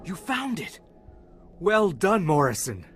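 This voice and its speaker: An actor playing a lovestruck, defiant young Jedi student in a video game, earnest and declamatory. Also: male voice, high-pitched, sing-song, slow, slight background noise, full character voice. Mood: elated, amorous. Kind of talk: scripted video game acting.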